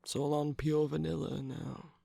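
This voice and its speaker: A man voicing a smug, teasing villain's henchman in a romantic ASMR roleplay, breathy and gravelly, drawling and dropping into whispers close to the mic. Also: a little high, little pitch movement, moderate pace, dark tone, no room sound. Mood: coaxing. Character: teasing, jaded, smug male villain's minion cookie. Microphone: close microphone, dry sound.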